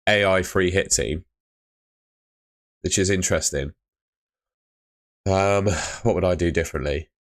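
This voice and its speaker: A young man chatting live with viewers on a stream, laid-back and chatty, his voice fading and hoarse. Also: sits low, a bit bright. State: losing his voice.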